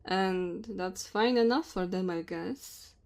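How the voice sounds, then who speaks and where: A woman's voice: on the low side, takes it slowly, creaky, a little mellow. A woman voicing a dominant, teasing immortal dragon woman in an ASMR roleplay, haughty and speaking straight to the listener. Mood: proud, amused. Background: cave ambience and fire sound effects.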